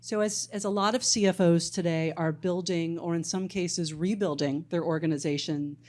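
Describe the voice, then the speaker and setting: A woman with a somewhat low voice moderates a conference panel. She sounds brisk and organized. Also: steady tone, darkish tone. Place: conference stage.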